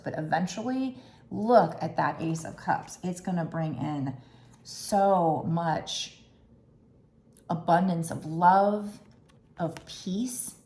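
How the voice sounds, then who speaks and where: A woman doing a tarot card reading, talking gently and musingly with restarts, her throat a little raspy. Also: fairly low pitch, reverberant, a little hiss or hum. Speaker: tarot reader.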